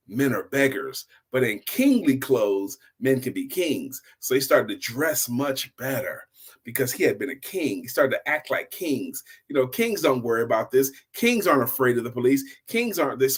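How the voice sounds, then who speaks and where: A man's voice: mid-range voice, a bit bright, slightly rough. An older man telling an informal bedtime story in a folksy way, often repeating phrases for emphasis. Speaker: storyteller, host.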